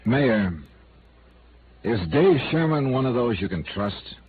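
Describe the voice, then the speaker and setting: An actor playing a tough, probing insurance investigator in a radio noir drama, with a sardonic, clipped way of questioning. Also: male voice, medium pitch, expressive, slow. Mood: musing, probing. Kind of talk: 1950s radio noir acting. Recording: tinny, noisy old radio recording.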